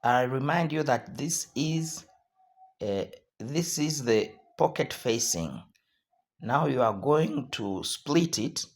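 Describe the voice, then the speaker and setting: Hoarse male tutorial host, slow and repetitive instructional delivery. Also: mid-range voice, expressive.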